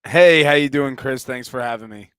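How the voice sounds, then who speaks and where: A man's voice: mid-range voice, normal speed, a bit bright, clear, resonant tone, dry recording, studio quality. A man who works as a sports announcer, giving talkative, detailed analysis as an interview guest. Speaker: hockey play-by-play announcer, show guest.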